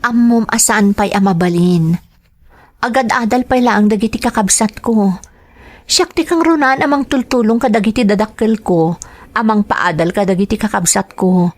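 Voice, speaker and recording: A woman's voice: medium pitch, moderate pace, a little mellow, close to an everyday voice. An actress with an Ilocano accent playing a dutiful young working woman in a radio drama, earnest and pleading. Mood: earnest explaining.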